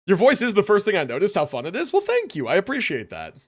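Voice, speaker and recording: A man's voice: sits high, huge pitch swings, fast. A young adult man streaming live, chatting casually and rambling as he tells a story, often tagging on "right?" and "like" and sometimes mushing his syllables.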